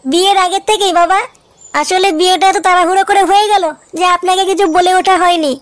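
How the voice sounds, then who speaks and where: A woman's voice: extremely high pitch, fairly even intonation, quick pace, dry recording. A woman with a West Bengali accent voicing a young, slyly dutiful daughter-in-law in a comedy cartoon. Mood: polite.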